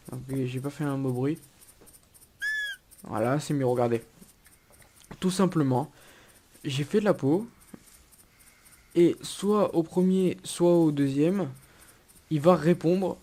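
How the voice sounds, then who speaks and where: A man's voice: on the high side, mellow. A young man with a cold, giving a casual gameplay tutorial with many hesitations and restarts. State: has a cold. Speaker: gaming YouTuber.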